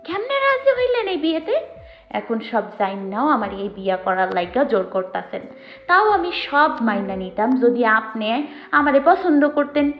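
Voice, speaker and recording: A woman's voice: a little high, very animated, moderate pace. A woman playing a young, outspoken village bride with a rural Bangladeshi accent in an audio drama, plaintive and reproachful. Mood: anguished, reproachful. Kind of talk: Bengali audio story acting. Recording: dull lo-fi audio with music.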